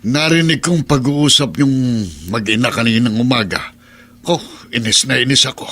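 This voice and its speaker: A man's voice: medium pitch, normal speed, fairly bright tone, lightly acted. Elderly Filipino family patriarch, played by a man, protective and grouchy, mid-range voice, radio drama. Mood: exasperated. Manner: grumbling, blunt. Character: grumpy, protective elderly father and grandfather.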